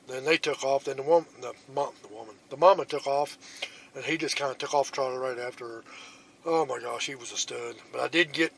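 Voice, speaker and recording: A man's voice: mid-range voice, a little fast, gravelly. Man, hunting vlogger, talks to a phone outdoors with light ambient noise behind him. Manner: excited rambling storytelling; hushed whispering in tree stand. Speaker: bowhunting vlogger. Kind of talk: casual hunting vlog. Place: outdoors in woods.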